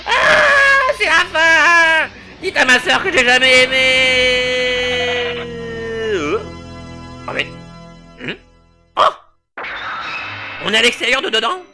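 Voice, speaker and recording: A man voicing a brash, wisecracking young hero knight in a comedic fan dub, sarcastic and prone to panicked yelling. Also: extremely high pitch, animated, takes it slowly, pauses often, over music, full character voice.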